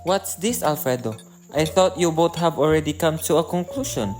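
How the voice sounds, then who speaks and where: Man playing a calm father, music behind. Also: on the high side, close to an everyday voice. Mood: questioning, practical. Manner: measured, philosophical. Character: calm, thoughtful father of adult children. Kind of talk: radio drama acting. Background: prominent music and chirping birds.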